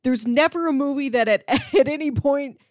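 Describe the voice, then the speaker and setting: A woman's voice: high voice, normal speed, no room sound, clean studio sound. A woman co-hosting a film podcast, chatting casually in a conversational way. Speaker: podcast co-host. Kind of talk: casual film discussion chat.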